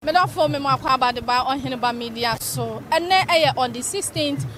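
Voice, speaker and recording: Female reporter, Ghanaian accent, high voice, live commentary over faint background noise. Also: moderate pace, a bit bright. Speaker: media reporter.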